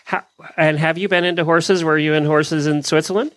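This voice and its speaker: A man hosting a casual interview, asking questions in a halting way and often rephrasing them. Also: a little high, fairly even intonation, a bit bright, dry recording. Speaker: interview host.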